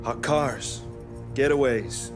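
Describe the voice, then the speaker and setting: An actor playing a brash, chummy small-time car thief in a video game cutscene, slangy and eager to please. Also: male voice, medium pitch, slow, over music, lightly acted. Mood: cocky, chummy.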